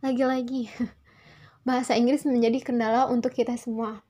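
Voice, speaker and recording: High-pitched young woman presenting. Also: flat delivery, normal speed, dark tone. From Indonesia. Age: young adult. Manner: polite, formal, repeats and rephrases phrases. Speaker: student presenter. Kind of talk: prepared spoken class response.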